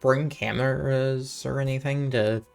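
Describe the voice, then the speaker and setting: A woman's voice: extremely low pitch, steady tone, unhurried, gravelly, a little mellow, faint music behind. A woman giving casual, dry and offhand commentary as she streams a video game.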